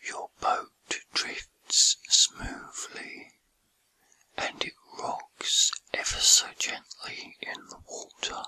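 A man whispering a guided meditation in a soothing, gentle, breathy ASMR style with unhurried pauses. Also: no echo, poor audio.

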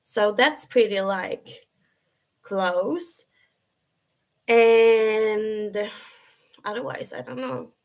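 A young woman from Sweden with a Swedish accent and a high, feminine voice, chatting casually with viewers on a webcam livestream from her bedroom, full of fillers and restarts. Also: very slow, pauses often. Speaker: livestream host. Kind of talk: casual live chat answering viewers. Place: bedroom at home, indoors.

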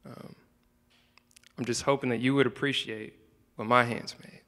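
A young man reading his own spoken word poetry into a handheld microphone, soft-spoken and hesitant between poems. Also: mid-range voice, fairly even intonation, moderate pace, long reverb tail. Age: young adult. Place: indoor room, standing by a wall.

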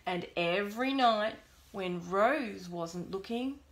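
Mum reading a bedtime story to her kid, woman, expressive, mid pitch, roomy sound. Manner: expressive, deliberate, pausing to prompt the child. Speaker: parent reading aloud to a young child. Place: small bedroom at home. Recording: roomy echo, background noise, clicks.

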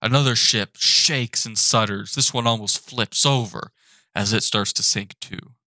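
Young guy swearing and ranting about a tv show, slow heavy delivery, dead dry mic. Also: fairly low pitch, dry recording. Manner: profane, exasperated ranting with sudden shouting. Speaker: animated channel host. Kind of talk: ranting commentary. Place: apartment.

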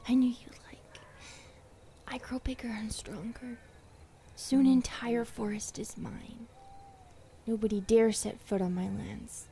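A woman playing a loving, possessive skinwalker girlfriend in an ASMR roleplay, speaking slow broken English and often whispering. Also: a little high, level tone, vocal fry, warm tone, a little hiss or hum. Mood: proud, hushed. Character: possessive, loving female skinwalker girlfriend, feral forest spirit.

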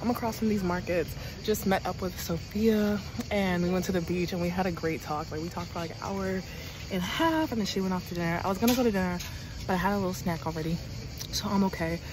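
A woman's voice: fairly low pitch, fast talker. A young woman, chatting casually to her phone as a travel vlogger, rambling and laughing between words. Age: young adult. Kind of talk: casual vlog chat to camera. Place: outdoors on city streets and a bench, also indoors in a hostel. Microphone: phone held at arm's length. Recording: outdoor street noise behind.

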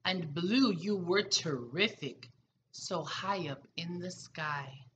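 Female pre-K teacher, middle-aged, storybook read-aloud; bright, animated, slow-paced delivery. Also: fairly low pitch, dull audio, slight background noise. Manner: expressive, deliberate storytelling for young children. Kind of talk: children's picture book read-aloud. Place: small room at home, indoors.

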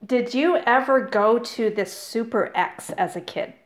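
A woman blogger conducts a casual interview, bubbly and eager, with frequent laughter. Her voice sits a little high for a woman. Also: unhurried. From Canada.

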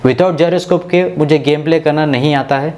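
A man's voice: on the high side, normal speed, darkish tone. A man from India, narrating a tech tutorial in an instructional, step-by-step way. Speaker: tech video host.

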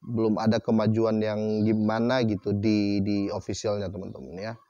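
A man's voice: fairly low pitch, monotone, warm tone, smooth, no echo, highs cut off. Guy talking crypto, chatty, keeps restarting, dead dry room. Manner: chatty, repeats "teman-teman" after most phrases; reads text aloud haltingly with restarts. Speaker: crypto channel host. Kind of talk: casual screen-share commentary.

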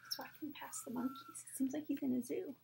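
A young adult woman reading picture books to children at story time in a soft, hushed, breathy manner. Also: high voice, steady tone, fast talker, noisy background. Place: small room at home, indoors.

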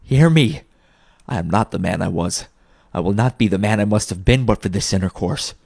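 A man's voice: fairly low pitch, a little slow, gravelly, a little mellow. A man voicing a repentant old miser in a storybook, fervent and exclamatory, with breathless, effusive delivery. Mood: desperate pleading. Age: older.

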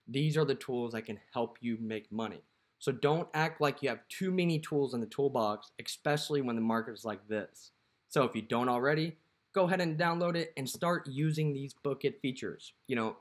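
A man's voice: medium pitch, a bit bright. A young man with a mid-range voice talks casually to the camera, walking through a phone app. His talk is loose and conversational, full of "you know" and "what have you." He is recorded close up indoors. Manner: conversational, filler-heavy, lots of "you know". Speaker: dispatcher and app promoter.

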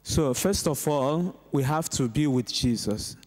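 A middle-aged man, a priest, delivering a homily in a measured, deliberate way with pauses between short phrases. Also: a little high, expressive, darkish tone. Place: inside a large church, at the lectern. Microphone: gooseneck lectern microphone.

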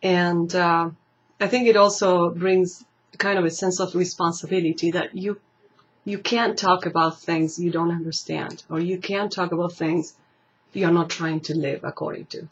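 Thoughtful woman from Argentina, soft lo-fi sound. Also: on the low side, steady tone, normal speed. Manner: thoughtful, searching for words, laughs easily. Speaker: interview guest. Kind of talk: casual interview. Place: library room lined with bookshelves, indoors. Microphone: camera mic at table distance. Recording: slightly dull lo-fi webcam audio.

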